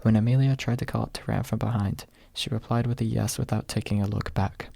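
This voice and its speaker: A man narrating an audiobook in a soft, close ASMR reading style, with a husky, gravelly voice. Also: fairly low pitch, steady tone, brisk, dry recording.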